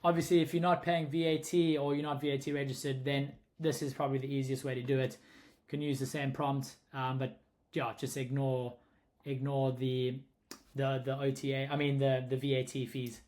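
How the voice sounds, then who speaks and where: A man's voice: mid-range voice, little pitch movement, moderate pace, darkish tone. A young man with a South African accent casually talking through a pricing tutorial, thinking aloud and often correcting himself. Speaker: short-term rental host explaining pricing. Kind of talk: casual screen-recorded tutorial.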